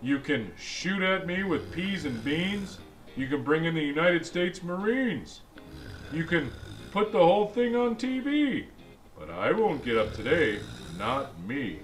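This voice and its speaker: A man's voice: a little high, very animated, measured pace. A man voicing a jolly old Santa Claus who reads a picture book to kids in a chatty, exclaiming way. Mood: playful, insistent. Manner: exclaiming, chatty asides between lines. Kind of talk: animated storybook read-aloud. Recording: music behind the voice.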